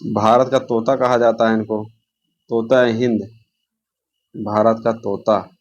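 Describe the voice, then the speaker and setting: A man's voice: lowish voice, little pitch movement, slow pace, muffled recording. A man teaching an exam lesson, posing questions, answering them himself and repeating key terms.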